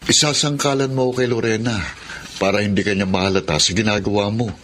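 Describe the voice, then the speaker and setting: An actor playing a firm, principled, scolding friend and business partner in a Tagalog radio drama. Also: male voice, fairly low pitch, steady tone, fast talker, fairly bright tone, natural voice. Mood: firm refusal. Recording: faint background noise and ambience.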